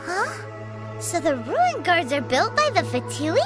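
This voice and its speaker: A woman voicing a small, excitable childlike companion in a video game, exclaiming expressively, with loud music behind her. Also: very high voice, unhurried, full character voice. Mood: curious. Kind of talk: English game voice acting.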